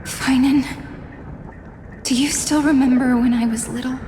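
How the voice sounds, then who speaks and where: An actress voicing a sorrowful young heroine in a game cutscene, soft and reflective. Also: female voice, high-pitched, steady tone, measured pace, big-room sound, a little hiss or hum. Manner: soft, mournful, reflective delivery with sighs.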